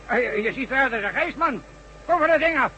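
A man's voice: very high voice, animated, brisk, pushed and bright, muffled recording, slight background noise, exaggerated voice. An actor playing a blunt, colloquial construction foreman who gives orders in a radio drama. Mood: alarmed, shouting. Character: urgent, rough-and-ready construction site foreman.

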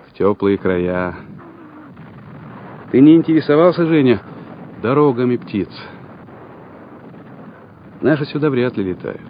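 An earnest, resolute army officer in a radio war play, comradely with his men. His voice is mid-pitched. The sound is dull and lo-fi, with battle noise behind. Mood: wistful. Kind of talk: radio drama acting.

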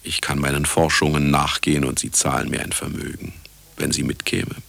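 An older retired scientist in a radio play, with an extremely low, crackling voice recorded close and dry. He narrates with sardonic self-importance, cynical and vain. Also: little pitch movement, a little fast, creaky, bright, forceful tone, dry recording, heavily acted. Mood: smug, wry. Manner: sardonic, self-important, talking to listener and sound engineer. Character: cynical, vain, retired male scientist telling his own story. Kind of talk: satirical radio drama monologue.

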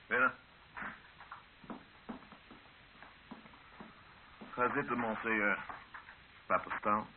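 A man's voice: lowish voice, level tone, normal speed, close to an everyday voice. Man playing a stern rebel commander, hissy tinny recording. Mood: curt, commanding. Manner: stern, mocking, declamatory. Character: bitter, menacing male Congolese rebel district commander, ex-slave. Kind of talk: old radio drama acting. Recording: hissy, narrow-band old radio drama recording.

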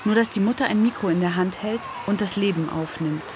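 A woman's voice: mid-range voice, fairly quick, background noise. A woman giving scripted first-person narration as the author of the piece.

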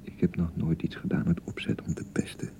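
A man acting an excitable young newlywed husband in a radio drama, by turns blustering and awkwardly pleading. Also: a little fast, whispered, lightly acted. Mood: defensive, placating. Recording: thin, band-limited 1990 radio broadcast.

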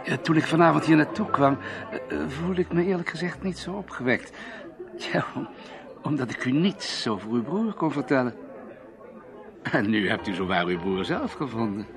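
An actor in a radio drama playing a suave, cagey villain who poses as a courteous, hesitant Canadian gentleman. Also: mid-range voice, lively intonation, moderate pace, close to an everyday voice. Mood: feigned politeness. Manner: courteous, hesitant, feigning ignorance. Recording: radio play with music and crowd behind. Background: music and crowd chatter.

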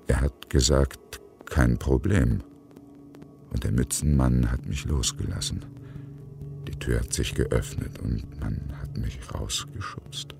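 An actor playing a brooding male courier in a radio drama monologue, hushed, halting and deliberate. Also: very deep voice, vocal fry, soft background music, exaggerated voice. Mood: darkly fascinated. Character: brooding male delivery courier, darkly fascinated by danger. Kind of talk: dramatic first-person monologue.

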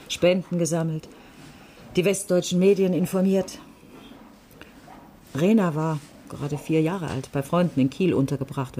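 Woman acting middle-aged former activist, bitter irony, wry halting delivery, radio drama, noticeable background ambience. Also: fairly low pitch, dark tone, slight background noise, close to an everyday voice. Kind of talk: naturalistic radio drama dialogue.

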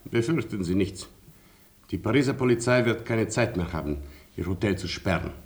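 Deep, laconic actor as a proud colonel. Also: male voice, animated, close to an everyday voice. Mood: grave, resigned. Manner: measured, laconic, deliberately formal. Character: proud, calm Polish army colonel, gentleman and lady's man. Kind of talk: German radio play acting.

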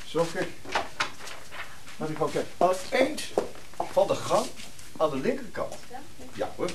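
A man's voice: a little high, big pitch swings, unhurried, background noise. An actor playing a formal, deferential male notary in a Dutch radio play, hesitant and repeating himself. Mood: obliging.

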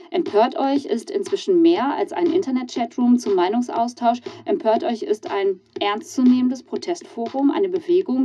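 An actress playing a formal, pressing radio interviewer with measured delivery in a crime drama. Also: female voice, mid-range voice, dark tone. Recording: dull radio sound over music.

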